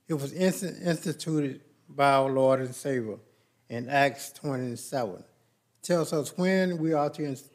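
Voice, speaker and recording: A man leading the Lord's Supper and prayers in a large church sanctuary, speaking with a slow, measured, reverent cadence into a pulpit microphone. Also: medium pitch.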